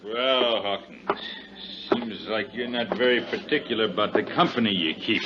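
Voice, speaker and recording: An American actor playing a belligerent, bitter middle-aged sea captain, gruff and threatening, in 1950s radio drama style. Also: male voice, fairly low pitch, moderate pace, noisy background, natural voice. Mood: sneering. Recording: dull 1950s radio recording.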